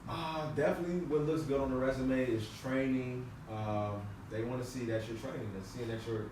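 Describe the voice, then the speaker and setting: A young man from Chicago with a deep voice, chatting loosely as an actor guest in an interview, with lots of fillers and repeats. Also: fairly even intonation, fairly quick, darkish tone. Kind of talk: casual interview chat. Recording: echoey room, far mic, background noise.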